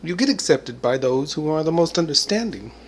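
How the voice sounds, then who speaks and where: A man's voice: fairly high pitch, sing-song, fairly quick, a little hiss or hum. A man in his forties talking to the camera in a rambling, reflective monologue, full of "you know" and "I mean" and bits of laughter. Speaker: vlogger. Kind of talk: casual spoken monologue.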